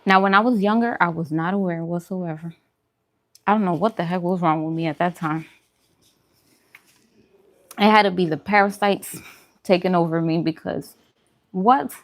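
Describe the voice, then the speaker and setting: Low-voiced woman chatting casually. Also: normal speed, long pauses. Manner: informal, chatty, self-deprecating. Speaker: vlogger hosting a live mukbang stream. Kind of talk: casual livestream chat while eating.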